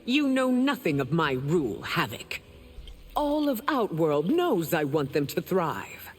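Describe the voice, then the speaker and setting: An actress playing a proud, stern middle-aged warrior empress in a video game, speaking formally and with regal command. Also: female voice, lowish voice, big pitch swings, a little slow, husky, heavily acted. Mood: proud, defiant. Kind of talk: dramatic video game dialogue.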